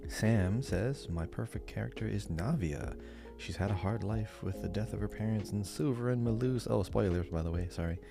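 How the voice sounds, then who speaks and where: Deep-voiced man with a gravelly edge. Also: moderate pace, music in the background. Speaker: podcast co-host. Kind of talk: casual podcast chat, reading listener answers and commenting.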